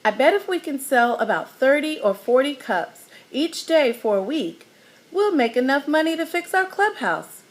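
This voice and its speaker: A woman's voice: high voice, sing-song, moderate pace. A woman voicing a hopeful boy planning how to earn money.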